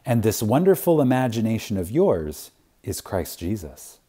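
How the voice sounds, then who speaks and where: A man reading an inspirational spiritual lecture aloud in a reverent, dramatic way, with long pauses and strong emphasis. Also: fairly low pitch, sing-song, dark tone, breathy edge.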